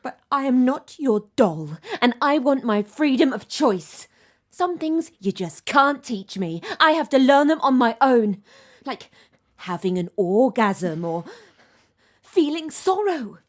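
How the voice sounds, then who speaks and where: A woman's voice: sits high, sing-song, takes it slowly, heavily acted. A woman acting in an audio play as a headstrong, defiant teenage daughter, arguing emphatically. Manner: argumentative, emphatic.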